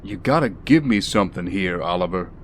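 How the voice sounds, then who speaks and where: Ex-soldier bodyguard, man acting: lowish voice, dry, patient but exasperated, audio drama with faint car noise. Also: animated, fairly quick.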